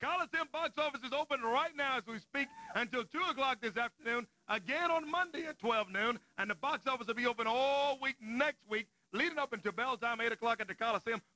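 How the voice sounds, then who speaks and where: A man hosting live wrestling TV, folksy and animated as he plugs shows and calls action. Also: very high voice, a little fast, hardly any pauses, rough recording. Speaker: wrestling TV announcer.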